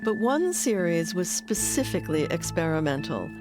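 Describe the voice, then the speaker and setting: A woman's voice: on the low side, big pitch swings, normal speed, over music. A woman delivering scripted narration as the narrator of a documentary.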